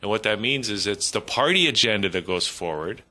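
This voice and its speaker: A man's voice: fairly low pitch, animated, fairly quick, bright, forceful tone. A man on a panel, laying out his argument in a reasoned, explanatory way. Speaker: panel guest. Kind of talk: panel discussion.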